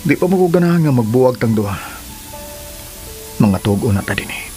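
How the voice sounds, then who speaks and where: An actor playing a sweet-talking cheating husband who turns evasive when cornered, in a radio drama. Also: male voice, medium pitch, animated, fairly quick, dark tone, close to an everyday voice. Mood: tender, coaxing. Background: music.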